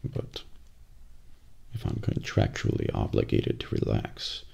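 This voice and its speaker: A man playing a composed, guarded professional assassin in a soft-spoken ASMR role play, with a measured, deadpan delivery and a breathy, gravelly voice. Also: very deep voice, exaggerated voice. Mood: reluctant, deadpan. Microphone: close binaural microphone.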